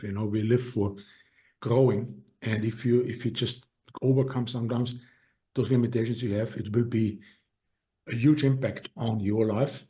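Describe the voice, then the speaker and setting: Austrian-accented man, earnest and halting. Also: medium pitch, mellow. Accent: Austrian German. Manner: earnest, halting with many restarts. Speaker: podcast guest. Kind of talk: casual interview talk.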